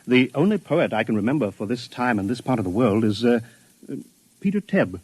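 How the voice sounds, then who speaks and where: Flustered stammery art scholar, kinda raspy, super swoopy, dry old 50s radio play. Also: male voice, medium pitch, big pitch swings, a little fast, dry recording, rough recording. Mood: polite, eager. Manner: stammering, flustered, theatrically effusive. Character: fussy, effusive time-travelling male art scholar, increasingly appalled. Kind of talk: 1950s radio comedy acting. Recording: 1950s radio recording.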